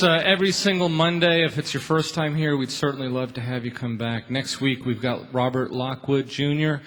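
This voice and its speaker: A man's voice: mid-range voice, a bit bright. A man hosting a radio show from a theatre stage, making casual announcements to a live audience in a folksy, informal way.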